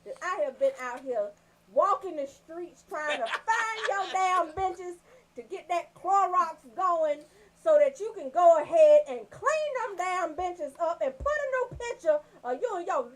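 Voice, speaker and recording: Female radio host. High and highly animated, brash, sweary comic storytelling.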